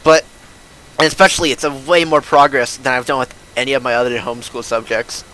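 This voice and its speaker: A man's voice: medium pitch, brisk, pushed and bright, a little hiss or hum, rough recording. A young man with a lisp, chatting casually and rambling in slangy, self-mocking style as he streams a game. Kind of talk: casual livestream chat while gaming. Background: video game music.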